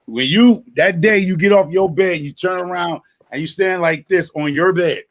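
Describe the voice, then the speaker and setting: A man's voice: sits high, normal speed. A man, telling folksy stories in a casual group chat. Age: 50s. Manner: folksy, drawling storyteller. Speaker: chat participant.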